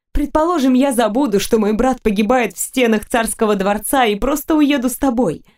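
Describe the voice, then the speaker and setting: A woman's voice: fairly high pitch, dark tone, natural voice. A woman voicing an earnest young fairy woman in an audiobook, sorrowful yet resolute, with an emotional, pleading manner. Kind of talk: audiobook character voice.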